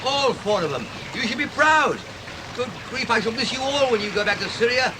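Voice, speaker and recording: An actor playing a jolly, boyish, middle-aged English writer who likes to act the sea captain, in a hearty, theatrical radio-play style. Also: male voice, extremely high pitch, expressive, brisk, noisy background. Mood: wistful, good-humoured. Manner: theatrical, hearty, old-fashioned exclamations.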